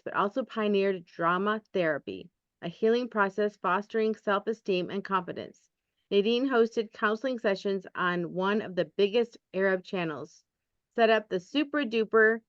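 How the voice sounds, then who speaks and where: A woman's voice: mid-range voice, no room sound. A woman podcast host reads a guest introduction and then asks questions, with the occasional small slip. Her voice is recorded dry, with no room sound, and the audio is slightly dull. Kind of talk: read introduction and casual interview.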